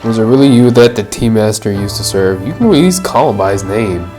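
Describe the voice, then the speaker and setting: Woman, extremely low pitch, game streamer, informal reactions, wry asides, soft background music. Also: lively intonation, brisk. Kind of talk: casual live gameplay reaction.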